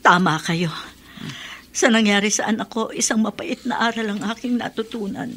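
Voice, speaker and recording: A woman's voice: medium pitch, normal speed, fairly bright tone, a little hiss or hum, lightly acted. A woman playing a regretful, embittered middle-aged mother in a Filipino radio drama. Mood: bitter.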